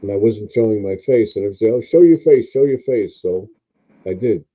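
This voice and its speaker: Low-voiced man in his seventies, lo-fi. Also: lively intonation, a little fast. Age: 70s. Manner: rambling, lots of fillers and restarts. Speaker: live stream host. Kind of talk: casual live stream chat.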